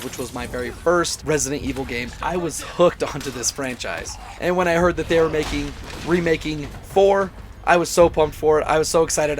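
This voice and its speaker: A man hosting a gaming channel, talking through a casual game review with a hoarse, worn-out voice after being sick. He sounds enthusiastic, rambles a bit and often corrects himself. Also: fairly high pitch, a little hiss or hum. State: hoarse, voice mostly gone after illness.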